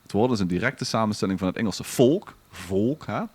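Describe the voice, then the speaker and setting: A man's voice: lowish voice, fairly quick, a little mellow, husky. Limburgish Dutch man hosting a podcast. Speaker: podcast host. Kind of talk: casual banter and chat.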